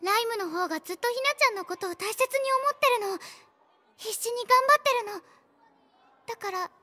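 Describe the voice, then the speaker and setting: A woman voicing a sweet, anxious young schoolgirl in a Japanese video game, with a gentle, halting, cutesy delivery. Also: very high-pitched, expressive, heavily acted. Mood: anxious, pleading.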